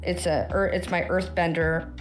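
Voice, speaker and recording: An American woman in her thirties with a hoarse voice, rambling and swearing freely in casual chat as a podcast guest. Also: fairly low pitch, flat delivery, moderate pace, soft background music. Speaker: actor.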